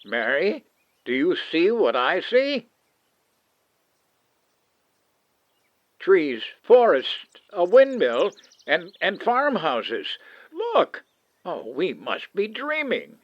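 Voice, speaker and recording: A man's voice: high-pitched, wildly swooping pitch, slow pace, no echo, highs cut off, exaggerated voice. A man playing an authoritative, protective middle-aged father and officer in an old-time radio drama, urgent and theatrical. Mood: awestruck. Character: commanding, protective father, suspicious military officer.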